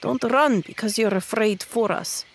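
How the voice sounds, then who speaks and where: A woman's voice: fairly high pitch, unhurried. A woman playing a determined, fiercely loyal young female adventurer in tabletop roleplay, speaking earnestly and emphatically. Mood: resolute, loyal.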